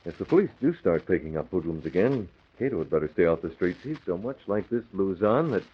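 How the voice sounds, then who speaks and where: Deep, husky, earnest crimefighting hero, decisive, muffled vintage radio adventure. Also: male voice, big pitch swings, a little fast, heavily acted. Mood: preoccupied. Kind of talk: 1940s radio adventure acting.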